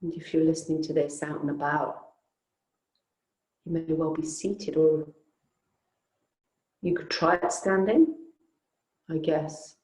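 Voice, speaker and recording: A woman teaching yoga nidra, guiding a relaxation in a soothing, unhurried, gently inviting way with long pauses. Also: low voice, steady tone, echoey room, low-quality recording. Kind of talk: guided relaxation meditation.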